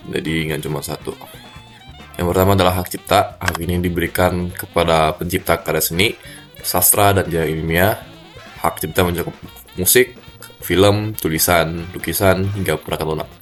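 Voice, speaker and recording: Man, very low voice, stuffy nose, halting delivery full of fillers, faint music behind. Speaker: student presenter. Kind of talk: class presentation. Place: cold room.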